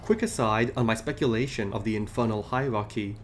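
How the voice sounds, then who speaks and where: Man narrating game lore over soft music. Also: lowish voice, fairly quick. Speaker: video host. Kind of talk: scripted video essay narration on game lore.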